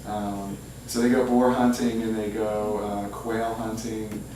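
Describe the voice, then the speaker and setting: A man talks informally about his writing life in an anecdotal way. His voice is on the low side. The recording is very echoey, distant and clearly noisy. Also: little pitch movement, measured pace, mellow. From Louisiana, United States. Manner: self-deprecating, anecdotal. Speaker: author speaking at a book reading. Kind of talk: informal public talk with audience questions. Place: indoor humanities center event room. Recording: echoey, noisy hall, far from mic.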